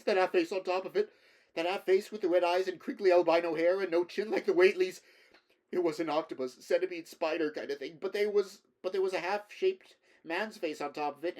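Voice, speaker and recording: A man's voice: sits high, darkish tone, pitched above the performer's own voice, lightly acted. A man voicing a terrified countryman who raves and stammers in horror as part of a story reading. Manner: frantic, stammering, repeating himself.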